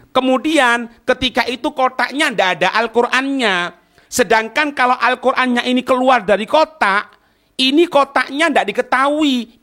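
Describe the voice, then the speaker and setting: A man's voice: very high voice, sharp tone. A man with an East Javanese Indonesian accent teaching an Islamic text, explaining it phrase by phrase with frequent rhetorical questions. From East Java, Indonesia. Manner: didactic, asks rhetorical questions and checks understanding. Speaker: Islamic teacher giving a religious study class. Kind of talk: religious lesson reading and explaining an Arabic text line by line.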